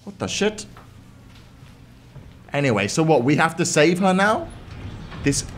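A man's voice: a little high, animated, a little slow, a little hiss or hum. A young man giving casual, playful reaction commentary as a gaming host, mocking things and swearing in banter. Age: young adult.

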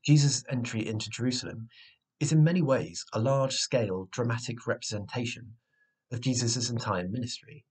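A young man with a husky, mid-pitched voice delivers a sermon. He speaks in a measured, reflective way, with earnest weight on his phrases. He is recorded in a small room at home on a device microphone. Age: 20s. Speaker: preacher. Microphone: webcam or device mic at arm's length.

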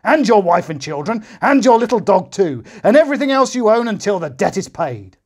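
Man playing a roaring, pompous king, high and loud, slow and heavy, husky tone. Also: sing-song, full character voice. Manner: roaring, drawn-out words. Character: booming angry male king, pompous and harsh.

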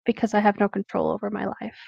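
A woman's voice: medium pitch, fairly even intonation, fast, creaky, husky, dry recording, lo-fi sound. A woman co-host trading casual comedic banter in a teasing, joking way. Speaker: co-host reading fan fiction aloud.

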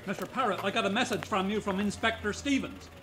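An actor playing an edgy, slangy young American elevator operator in a 1940s radio play. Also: male voice, sits high, fast talker, fairly bright tone. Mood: hurried. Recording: noisy vintage radio broadcast.

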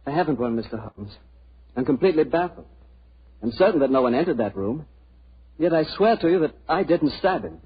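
Earnest, fast-talking young prisoner. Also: male voice, fairly high pitch, lively intonation, breathy edge. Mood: anxious, earnest. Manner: earnest, polite, pleading. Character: earnest, anxious young secretary wrongly accused. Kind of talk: 1940s radio acting. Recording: muffled old radio recording.

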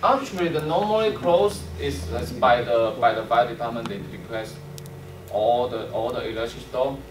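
A young man, a non-native English speaker, explaining technical steps in a workshop with halting, fragmented phrasing. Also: mid-range voice. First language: not English. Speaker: trainer. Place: indoor workshop room with small audience. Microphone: distant camera microphone. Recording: roomy, far from mic, noisy room.

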